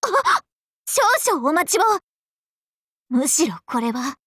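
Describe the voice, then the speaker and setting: Girlish game dragon princess, extremely high voice, unhurried, sweet, doting, theatrical, polite. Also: sing-song, a bit bright, dry recording, studio quality, exaggerated voice. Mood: coy, lovestruck. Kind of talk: Japanese mobile game character voice acting.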